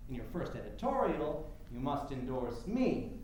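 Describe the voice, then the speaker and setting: An actor plays a grandiose, moneyed political showman in a stage drama. His oratory swings widely in pitch and pushes over others. The sound is very echoey, with faint noise behind it. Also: sing-song, normal speed, a little mellow, natural voice. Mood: boastful, expansive. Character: rich, pompous male political showman wooing suffragists. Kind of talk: staged play reading.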